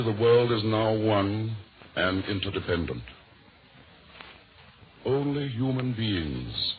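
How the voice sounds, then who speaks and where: A man delivering a formal, scripted 1940s radio address in solemn, deliberate oratory. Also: on the low side. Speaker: speaker reading a signed statement. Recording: tinny vintage 1940s radio, faint music.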